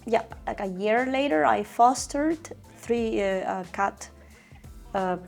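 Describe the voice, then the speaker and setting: A woman's voice: a little high, takes it slowly, gravelly, a little mellow. Ecuadorian woman chatting over background music. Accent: Ecuadorian Spanish. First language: Spanish. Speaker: interviewee, cat owner. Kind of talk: conversational interview.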